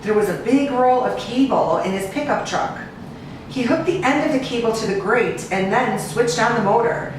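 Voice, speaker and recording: American woman reading in a very echoey room. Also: fairly high pitch. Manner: expressive, sing-song listing with drawn-out repeats. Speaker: animal cruelty investigator and rescue agent reading to children. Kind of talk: children's storybook read-aloud. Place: echoey indoor room, away from the mic. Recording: distant, very echoey room with hiss.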